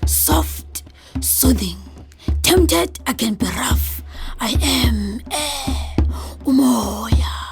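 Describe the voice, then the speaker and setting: A woman playing a soft air spirit who can turn rough, in a children's folktale audio drama, with a breathy, drawn-out delivery. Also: fairly high pitch, lively intonation, full character voice. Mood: airy, mysterious. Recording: loud music over the voice.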